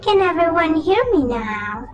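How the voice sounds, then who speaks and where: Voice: extremely high pitch, very animated, a little slow, lo-fi sound, exaggerated voice. An actor playing a small, cute, fluffy goblin creature in an audio drama, mock-polite and childlike with a sinister edge. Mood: chirpy. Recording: echoing voice under loud music.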